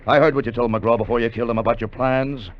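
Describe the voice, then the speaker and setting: An actor playing a shrewd, unyielding seafarer, measured and coolly menacing, in a 1950 radio drama. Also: male voice, mid-range voice, fairly even intonation, close to an everyday voice. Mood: firm, threatening. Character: calm, shrewd, unyielding seafaring partner turned accuser. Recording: muffled, noisy vintage radio recording.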